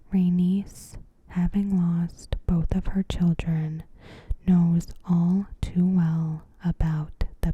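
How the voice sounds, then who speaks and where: A woman softly whispering a scripted recap in a gentle, measured, breathy ASMR style. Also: fairly low pitch, dry recording. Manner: gentle, measured, careful phrasing. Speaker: ASMR host.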